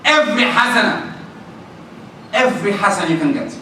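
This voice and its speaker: Loud, fast, high-voiced preacher in echoey hall. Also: male voice. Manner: emphatic and lively. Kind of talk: sermon. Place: mosque. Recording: echoey hall from far off, faint noise.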